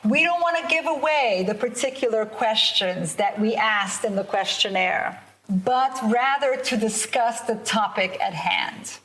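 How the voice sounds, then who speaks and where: A woman researcher presenting her work to a school audience and then moderating a student panel, in a measured, explanatory way. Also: fairly high pitch, lively intonation, a bit bright, low-quality recording. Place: school event in front of students, teachers and guests.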